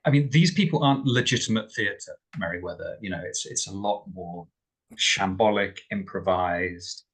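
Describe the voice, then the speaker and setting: A man playing a cautious, wry male traveller in a tabletop game, with a measured, formal and wordy way of talking. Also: lowish voice, a bit bright, slightly rough. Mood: concerned.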